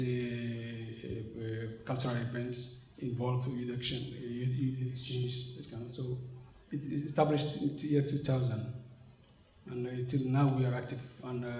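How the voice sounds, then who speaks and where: Male interviewee from Somalia, 40s, mid-pitched and dark-toned, halting delivery, roomy hall sound. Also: little pitch movement. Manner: hesitant, many fillers and restarts. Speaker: interviewee, organization representative. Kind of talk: conversational interview. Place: conference venue, indoors. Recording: echoey room sound.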